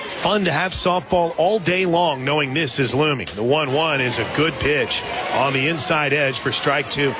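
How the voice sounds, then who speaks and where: A man calling softball live on the radio as the play-by-play announcer. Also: on the high side, moderate pace, background noise. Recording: loud crowd and music behind broadcast. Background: ballpark crowd and music.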